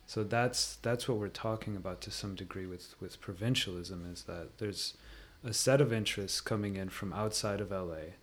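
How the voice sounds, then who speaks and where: A man speaking as an interview guest, giving measured, opinionated and analytical commentary in conversation. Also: on the low side.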